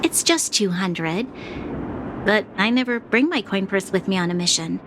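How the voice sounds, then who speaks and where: An actress playing a calm, observant young woman adventurer in a video game, speaking in a composed, matter-of-fact way. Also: mid-range voice, moderate pace, noisy background, lightly acted. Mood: calm, reasoning. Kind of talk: video game cutscene voice acting.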